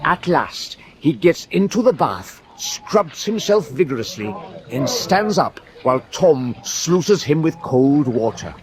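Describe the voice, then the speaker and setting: A man acting an excitable British naval man who recounts the action in a dramatised war narration. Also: a little high, slow pace. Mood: measured narration.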